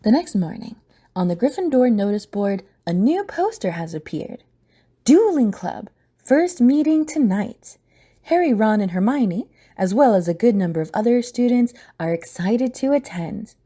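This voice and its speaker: A woman's voice: medium pitch, very animated, moderate pace, warm tone, slightly rough. A woman reading scripted, dramatic story narration for an audio drama.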